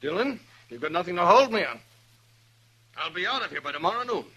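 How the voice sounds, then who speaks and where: A blustering rich schemer. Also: male voice, high voice, big pitch swings, brisk. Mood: threatening. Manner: blustering, then pleading. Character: arrogant rich male frontier schemer. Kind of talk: 1950s radio drama acting. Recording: old radio broadcast with faint hiss.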